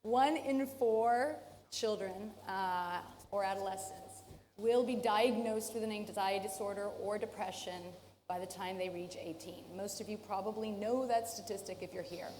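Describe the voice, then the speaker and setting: A Canadian woman speaking at a conference in a relaxed, joking way, filling pauses with ums. Her voice sits at a middle pitch and rings through a large hall with a long echo. A faint hum of noise lies under the sound. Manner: relaxed, self-deprecating, many fillers. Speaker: professor, speaker. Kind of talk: conference talk.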